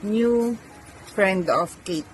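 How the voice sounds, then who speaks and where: A woman's voice: medium pitch, very slow, faint music behind, low-quality recording. A woman asking simple reading comprehension questions in the manner of a teacher. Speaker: teacher or adult quizzing a young reader.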